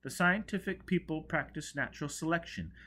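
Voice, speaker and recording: Man playing a high-pitched, declaiming zealot cult leader. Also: fairly quick. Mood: solemn, zealous. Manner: loud, solemn, ceremonial, declamatory. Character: solemn zealous male leader of scientist cult.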